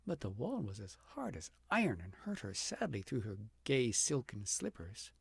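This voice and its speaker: Gravelly, husky man reading a storybook. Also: medium pitch, wildly swooping pitch, dry recording. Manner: dramatic, deliberate phrasing with emphatic swells and hushed drops. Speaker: audiobook narrator reading a fairy tale aloud. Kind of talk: expressive storybook narration.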